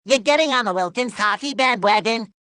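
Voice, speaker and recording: An actor voicing a cheerful, pushy puppet coffee pitchman in a comedic ad sketch, chipper and deadpan about mayhem. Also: fairly quick, no room sound, clean studio sound, close to an everyday voice. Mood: chipper menace.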